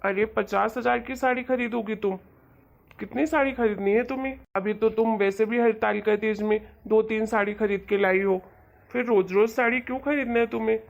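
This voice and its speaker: A woman's voice: on the high side, steady tone, normal speed, gravelly, muffled recording. A woman voicing a patient, budget-minded husband in a cartoon moral story, placating and mildly scolding before he gives in. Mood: shocked exasperated.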